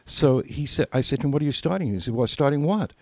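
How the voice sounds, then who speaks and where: A man's voice: a little high, expressive, fast talker, darkish tone, dry recording, studio quality. An older man telling anecdotes casually as a podcast co-host, acting out bits of dialogue as he reminisces.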